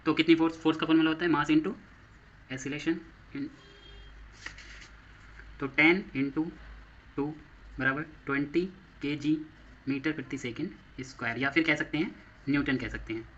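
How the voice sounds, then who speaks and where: Male Indian lecturer, higher-than-average voice, explanatory and repetitive, dull lo-fi audio. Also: fairly even intonation, normal speed, pauses often, fairly bright tone, slight background noise. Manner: explanatory, repeats phrases and asks himself questions. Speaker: physics teacher for exam coaching. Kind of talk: classroom lecture mixing Hindi and English terms.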